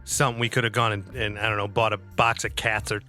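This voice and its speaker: A man with a bright, forward voice plays a tabletop roleplaying game, chatting casually about what his character does. Soft music runs underneath. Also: lowish voice, flat delivery, quick pace. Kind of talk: casual chat, describing his character's actions.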